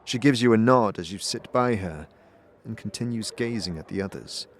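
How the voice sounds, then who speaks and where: A man narrating a fan audio drama in the second person, measured and descriptive. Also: lowish voice, slight background noise.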